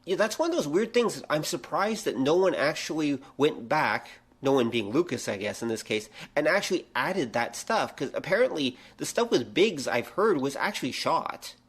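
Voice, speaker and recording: A man's voice: a little high, lively intonation, moderate pace, hardly any pauses, breathy edge. A middle-aged man co-hosting a podcast, chatting casually as he asks questions and comments. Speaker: podcast co-host. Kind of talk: casual conversation, asking and commenting.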